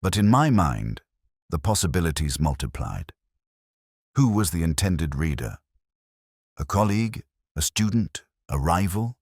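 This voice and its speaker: A man narrating a first-person detective story as a brooding police inspector, in a measured, literary, reflective manner. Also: sits low, big pitch swings, a little mellow, breathy edge, no room sound, clean studio sound.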